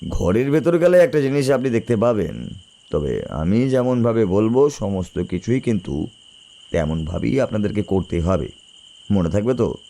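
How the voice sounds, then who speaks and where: A mysterious male guide in a horror story, with a low, dark voice. He cautions deliberately, his pitch moving up and down as he gives instructions. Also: sing-song. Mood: cautioning. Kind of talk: audio horror story acting.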